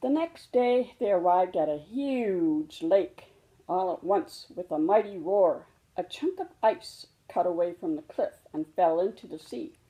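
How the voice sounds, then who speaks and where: Woman, storybook reader, unhurried pace, mellow tone, expressive narration for small children. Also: lowish voice, low-quality recording. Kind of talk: children's read-aloud narration.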